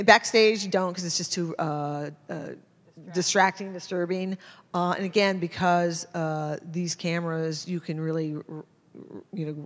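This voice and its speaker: A middle-aged American woman answering audience questions as a guest speaker, casual and halting, often restarting her sentences. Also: low voice. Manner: halting, self-interrupting, informal. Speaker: guest photojournalist. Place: indoor hall at a public talk. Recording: amplified in a reverberant hall.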